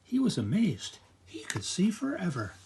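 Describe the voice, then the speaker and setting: Older guy reading a kids storybook, gravelly, kinda higher voice, really animated. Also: moderate pace, slight background noise. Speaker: grandfather reading picture books aloud. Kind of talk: expressive children's storytime narration.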